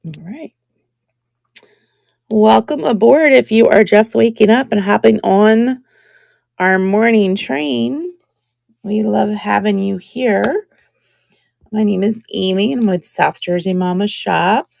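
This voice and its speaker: Slow, gravelly-voiced woman host. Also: medium pitch, no echo, studio quality. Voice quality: scratchy. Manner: slow, chatty, drops to soft whispers while showing pieces. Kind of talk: casual live-stream selling chat.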